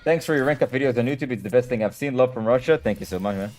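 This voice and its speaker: Man, native hebrew speaker, live-stream game coach, casual and direct, mid-pitched voice. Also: very fast, darkish tone, a little hiss or hum. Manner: direct, answers chat questions.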